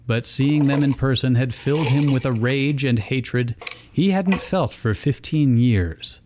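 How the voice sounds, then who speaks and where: A man's voice: mid-range voice, a little mellow, a little hiss or hum. A man reading fan fiction aloud as narrator, in scripted storytelling style. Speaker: reader and narrator.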